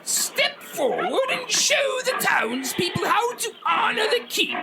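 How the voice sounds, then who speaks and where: A woman playing a domineering soldier who shouts royal orders in an old-time radio play. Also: very high voice, sing-song, creaky, heavy background noise, full character voice. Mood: commanding. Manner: slow, shouted proclamations. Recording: very loud farm animal ambience.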